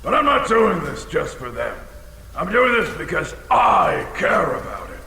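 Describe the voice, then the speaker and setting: Audio drama dragon: ancient, boastful, touchy, heavy gravel, slow theatrical delivery, deep reverb. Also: male voice, wildly swooping pitch, bright, forceful tone, heavily acted. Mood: earnest, rambling. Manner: theatrical, rambling, swings into bellowing. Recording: cavernous echo with long reverb, faint noise.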